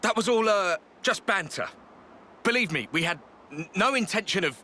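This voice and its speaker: A man with a British accent voicing a colloquial, grousing low-ranking soldier in a video game. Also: lively intonation, normal speed, bright, forceful tone, slight background noise. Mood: flustered. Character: grumbling, low-ranking male soldier, nostalgic and deferential. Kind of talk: scripted video game voice acting.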